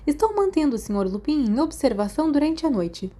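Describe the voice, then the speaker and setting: A woman acts out a no-nonsense school nurse in a storybook, firm yet caring with her young patients. The voice is mellow, with a Brazilian Portuguese accent and an admonishing manner. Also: high-pitched, very animated, fairly quick, voice pushed up. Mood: stern.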